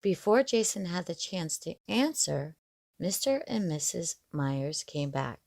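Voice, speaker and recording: A woman reads a scripted story with measured expression. Her pace is slow and her slightly low voice has plenty of vocal fry. The sound is dry and close. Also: expressive, a little mellow, no room sound. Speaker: horror story narrator. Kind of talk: scripted first-person story narration.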